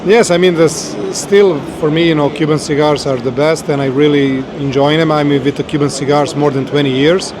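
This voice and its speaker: A man with a Serbian accent answers interview questions outdoors, steady and measured in English with some hesitation. His voice is a touch high and keeps a flat, even tone. Street noise runs under him. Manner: steady, measured, a little halting. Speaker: guest. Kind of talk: casual interview.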